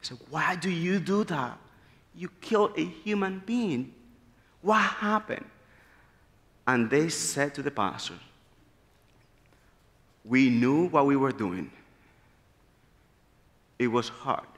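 A man's voice: on the high side, slow pace, pauses often. Man preaching in a hall. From Dominican Republic. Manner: animated, gesturing freely, earnest storytelling. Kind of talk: sermon. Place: large church sanctuary, at a pulpit. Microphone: pulpit mic. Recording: long church hall reverb.

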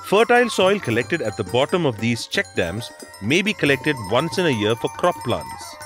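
A man's voice: medium pitch, big pitch swings, moderate pace. A man reading scripted instructional narration for a documentary. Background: background music.

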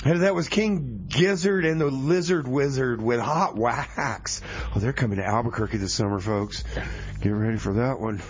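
A man's voice: medium pitch, moderate pace, slight background noise, close to an everyday voice. Rambling radio DJ played by a man, muffled sound. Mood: upbeat. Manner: rambling, repeats himself. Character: flustered, slacker male music-show DJ. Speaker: radio DJ host. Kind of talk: community radio comedy show banter.

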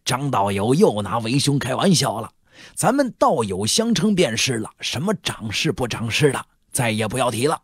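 A portly, middle-aged merchant acted out by a man gushes with back-slapping joviality. The voice is husky and swings up and down as he works his customer, storybook style, recorded close and dry. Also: medium pitch, very animated, normal speed, no echo. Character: portly middle-aged male shopkeeper, hearty and glib. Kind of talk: audiobook character voice.

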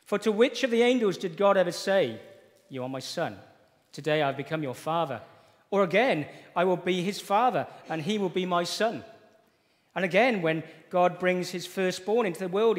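A man leading a church service, reading scripture aloud in an earnest, measured way and praying. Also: sits high. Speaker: church leader introducing the preacher. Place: church sanctuary with wooden panelling. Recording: long reverb of a church hall.

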